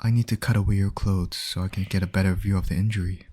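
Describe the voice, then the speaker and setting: A man acting as a calm, caring medic in an ASMR roleplay, gently reassuring a patient and explaining each step. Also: low-pitched, fast, breathy edge. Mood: gentle, businesslike. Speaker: voice actor in roleplay audio.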